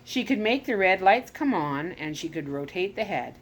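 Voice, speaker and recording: A woman reading a children's chapter book aloud as a storyteller, expressive and animated, now and then correcting herself. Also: on the low side, normal speed, a little hiss or hum, low-quality recording. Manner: expressive read-aloud with lively rises on exclamations; occasional self-corrections.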